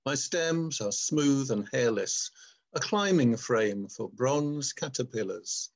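Older man, slow measured delivery. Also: medium pitch, lively intonation, creaky. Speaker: poet. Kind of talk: introducing and reading own poem at an online poetry event.

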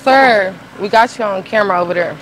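A woman's voice: medium pitch, brisk. A young American woman talking casually as a guest prankster on location. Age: young adult. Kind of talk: casual chat and prank confrontation. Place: outdoor lot at night, large store aisle. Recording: noisy parking lot and store.

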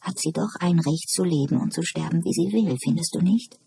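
A narrator voicing a calm, knowing woman asylum patient who muses and explains in an audiobook. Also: medium pitch, fairly quick, creaky, husky, no echo. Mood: musing.

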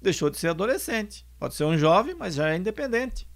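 A man in his sixties giving a calm spoken lecture on family, explaining points and repeating them, into a close microphone in a home studio. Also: high voice, fairly quick. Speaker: spiritist lecturer and singer. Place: home studio, bookshelves behind. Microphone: orange foam mic on stand, close.

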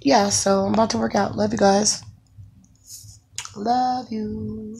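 A woman in her thirties with a raspy voice from the flu, chatting casually to her phone camera at home, with lots of ums. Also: mid-range voice, steady tone, slow, a little mellow, slight background noise. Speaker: vlogger, stay-at-home mom. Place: home bedroom, indoors. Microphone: phone camera held close.

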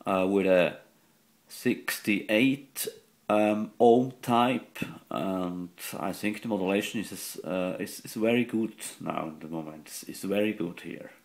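A man who is not a native English speaker, giving a halting, matter-of-fact hands-on technical demonstration at a workbench. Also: low voice. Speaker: radio repair hobbyist. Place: indoors at an electronics workbench.

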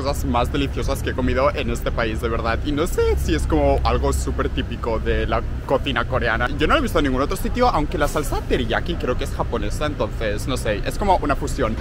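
A young man chatting upbeat and casually to his viewers while walking through the city. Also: mid-range voice. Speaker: vlogger. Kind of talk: casual vlog chat. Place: city streets outdoors. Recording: street noise behind voice. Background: street and traffic noise.

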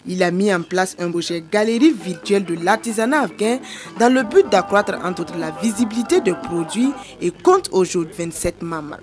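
A woman reading a scripted TV report in a formal, even way. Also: medium pitch, normal speed, over music.

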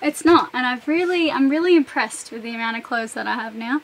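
High-voiced young woman touring her boat. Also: a little fast. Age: young adult. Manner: chatty, upbeat, matter-of-fact. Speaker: sailing vlogger. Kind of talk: casual boat tour. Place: cabin and galley of a sailing catamaran.

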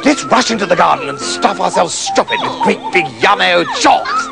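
Man doing squealing chocolate-crazy storybook princesses, very high and jumpy. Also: wildly swooping pitch, moderate pace, gravelly, over loud music, heavily acted. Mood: excited. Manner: whiny, squealing. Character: giggly chocolate-mad young princesses.

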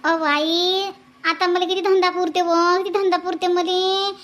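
Woman acting cartoon village woman, very high sharp voice, Varhadi Marathi accent, complaining, gossipy, repeats herself. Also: level tone, measured pace, a little hiss or hum. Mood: plaintive. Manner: repeats phrases, exclaims often. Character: complaining, gossipy village mother-in-law and her grumbling married daughter.

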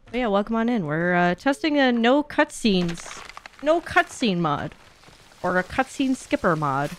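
Young woman game streamer, mid-pitched and very varied, blunt sweary casual commentary, faint background noise. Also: sing-song, measured pace. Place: small indoor streaming room at home.

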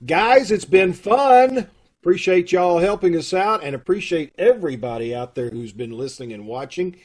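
A middle-aged man with a husky voice, making folksy, casual announcements to an online audience over a video call. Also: sits high, lively intonation, moderate pace. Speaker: troupe host making announcements. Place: room at home, on video call. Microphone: video call microphone.

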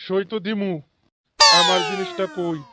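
A man's voice: high voice, fairly even intonation, unhurried, very noisy. A man voicing a corrupt, self-righteous office official in a comic cartoon, with a Bangladeshi regional accent and sanctimonious, pious phrasing. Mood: sly, self-justifying. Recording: loud music behind the voice. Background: loud music.

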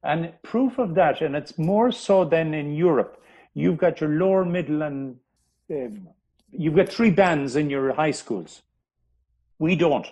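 Guy historian on a podcast call, kinda high voice, talks slow, lots of um and uh. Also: expressive, darkish tone, husky, rough recording. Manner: hesitant, many ums and restarts. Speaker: historian guest. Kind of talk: interview, historical storytelling.

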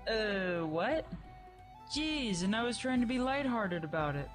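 A woman's voice: on the high side, animated, measured pace, over music. A woman voicing a sarcastic, brash young dead woman in a fan radio play, snappy and exclaiming. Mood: snarky.

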